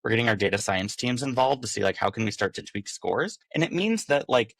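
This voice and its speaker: A man's voice: medium pitch, animated, brisk, vocal fry, fairly bright tone, no echo. A man giving casual, talkative interview answers as a podcast guest, informal and often acting out imagined quotes. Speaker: podcast guest, business operations director.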